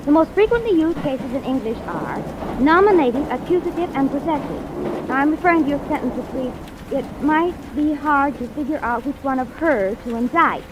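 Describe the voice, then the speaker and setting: A woman's voice: high-pitched, animated, brisk, dull audio. A woman playing a prim, eager secretary with a precise, chirpy manner in a 1940s radio show. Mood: pedantic, cheerful. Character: prim, eager female secretary correcting grammar. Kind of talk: 1940s radio comedy-drama acting. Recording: noisy old radio recording.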